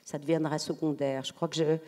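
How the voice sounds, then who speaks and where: Woman panel writer, low mellow voice, soft-spoken, halting storyteller. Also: level tone. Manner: soft-spoken, hesitant, searching for words. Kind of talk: personal storytelling in panel talk. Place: theatre stage at a public literary event.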